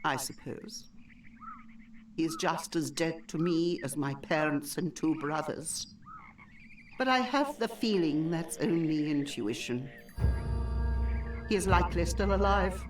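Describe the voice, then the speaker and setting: A woman playing a wistful, older-looking healer in the swamps, with formal, old-fashioned, measured phrasing, in video game dialogue. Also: low-pitched, animated, recorded from a distance, faint music behind. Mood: wistful, reflective.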